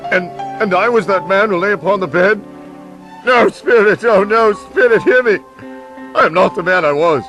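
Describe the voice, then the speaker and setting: A man's voice: high voice, moderate pace, fairly bright tone, soft background music. An actor playing an old miser facing his own repentance in a live radio drama, theatrical and given to stammered repetitions. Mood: desperate, repentant.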